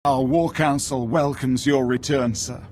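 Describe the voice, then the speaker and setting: An actor playing a proud, grave imperial war leader in a video game, speaking in formal, measured oratory. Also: male voice, medium pitch, steady tone, darkish tone, faint music behind, natural voice.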